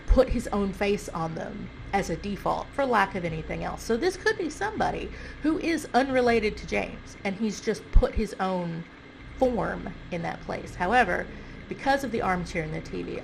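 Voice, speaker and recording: A woman's voice: medium pitch, gravelly, noisy background. A woman with a stuffy nose giving casual, self-correcting commentary on a video game, thinking aloud as she goes.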